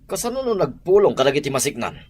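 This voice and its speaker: A man's voice: fairly high pitch, big pitch swings, quick pace, a little mellow, slightly rough. A man playing a fearful yet menacing accomplice in an Ilocano audio drama. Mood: worried, threatening.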